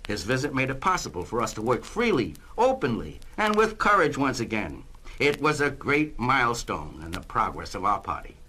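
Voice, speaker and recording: An actor playing a zealous Communist district official, speaking in a grandiose, oratorical 1960s dramatized style. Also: male voice, mid-range voice, sing-song, normal speed, sharp tone. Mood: fervent, proud. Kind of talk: 1960s dramatized political speech. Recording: noisy vintage spoken-word LP.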